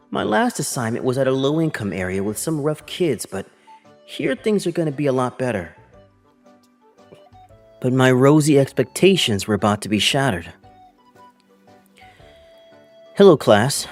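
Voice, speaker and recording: A man voicing an earnest twenty-five-year-old male teacher who narrates his own story and acts out his lines in a manga dub. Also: medium pitch. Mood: hopeful, earnest. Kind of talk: first-person manga dub narration with acted dialogue.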